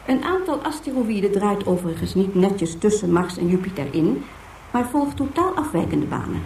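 An actress voicing a formal, instructive information tape in scripted documentary style within a radio play. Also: female voice, medium pitch, very animated, normal speed, creaky, a little mellow, slight background noise. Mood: formal, informative. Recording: echoing, distant, music behind.

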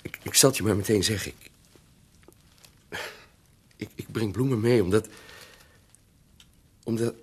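An actor playing a nervous, earnest young suitor in a Dutch radio drama, stammering and halting as he speaks. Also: lowish voice, husky.

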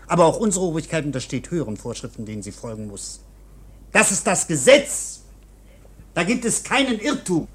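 Husky, officious guard. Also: male voice, a little high, big pitch swings, a little fast, fairly bright tone, exaggerated voice. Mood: officious, lecturing. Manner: curt, bureaucratic. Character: stern, officious low-ranking guard lecturing the arrested. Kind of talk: German radio drama acting.

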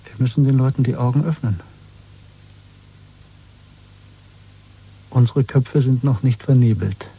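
An actor playing a weary, bitter, quietly defiant dissident in a radio drama, husky and intimate, speaking slowly and broodingly. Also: male voice, mid-range voice, low-quality recording. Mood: intense, hushed resolve. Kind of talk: 1970s German radio play acting.

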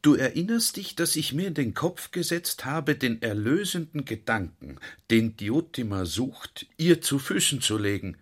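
A man's voice: mid-range voice, big pitch swings, a little slow, few pauses, fairly bright tone, slightly rough, dry recording, studio quality, exaggerated voice. A man playing a garrulous, earnest, naively sly military general, confiding his anecdotes in a radio play monologue. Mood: earnest, excited. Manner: confiding, anecdotal, addressing a listener directly.

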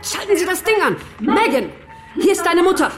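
A woman playing a forceful politician and desperate mother in a radio drama, speaking with urgent authority. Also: very high-pitched, sing-song, moderate pace. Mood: urgent pleading. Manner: commanding, urgent. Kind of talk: German radio drama acting. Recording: music behind the voice.

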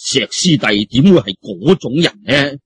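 A man's voice: mid-range voice, expressive, unhurried, husky. A man with a Cantonese accent voicing an authoritative Taoist sect master in an audiobook, commanding yet placating.

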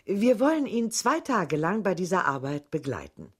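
An actress playing a formal, solemn radio presenter who introduces a study in measured, scripted sentences. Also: female voice, lowish voice, very animated, breathy edge. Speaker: radio announcer. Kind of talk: scripted radio play announcement.

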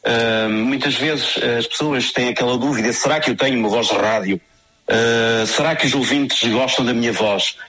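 Hesitant middle-aged man, medium pitch. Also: a bit bright. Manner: hesitant, halting, reflective. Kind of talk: casual panel conversation.

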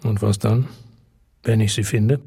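Radio drama male lead: unhappy, vengeful loner, mellow rough-edged voice, terse and guarded delivery. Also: lowish voice, fairly even intonation, a little slow, full character voice. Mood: bitter, weary. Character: world-weary, unhappy, distrustful man bent on revenge. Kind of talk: German radio drama acting.

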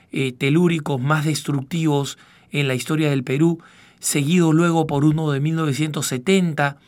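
A middle-aged man from Peru, raised in Argentina, hosting a reflective radio commentary in a measured, halting way as he searches for words. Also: on the high side. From born in Peru, raised in northwestern Argentina. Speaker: radio program host, news agency director.

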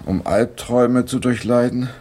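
An actor in a German radio drama playing a weary, irritable, middle-aged English poet who complains theatrically and sighs. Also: male voice, medium pitch, fairly even intonation, normal speed, warm tone, close to an everyday voice. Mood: grumbling.

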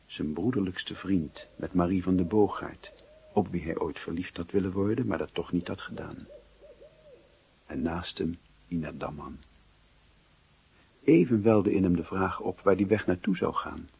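A man narrating a wistful literary radio drama as a reflective, measured storyteller with a husky, raspy voice. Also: low-pitched, darkish tone, full character voice.

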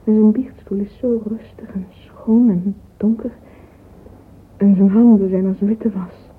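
An actress playing a vain, romantic young woman in a radio drama monologue, intimate and breathy, often whispering. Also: medium pitch, fairly even intonation, measured pace, lightly acted. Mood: dreamy, rapt. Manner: intimate, confiding. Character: dreamy, vain, catty romantic young woman musing privately. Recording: tinny, hissy old radio recording.

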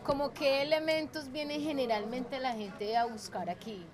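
A woman's voice: high-pitched, fairly even intonation, moderate pace. A Colombian woman conducting a casual interview, feeling her way through her questions with some hesitation. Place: public market. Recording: busy market crowd noise.

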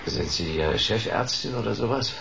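An actor playing a wry, terse, hard-boiled private detective in a radio drama. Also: male voice, fairly low pitch, expressive, normal speed, gravelly, a bit bright, a little hiss or hum. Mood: wry, suspicious.

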